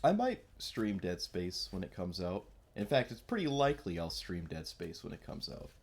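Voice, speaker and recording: A man's voice: sits low, huge pitch swings, moderate pace, a little mellow, slightly rough. A man with a stuffy nasal voice from a cold, rambling casually as he streams a card game. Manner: mumbling, rambling, self-deprecating. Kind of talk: casual gameplay commentary.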